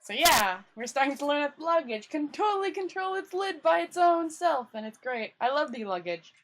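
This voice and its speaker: A woman's voice: high-pitched, big pitch swings, normal speed, a bit bright. A woman storyteller reading a book aloud informally, stumbling over words and correcting herself, with casual commentary. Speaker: storyteller, channel host.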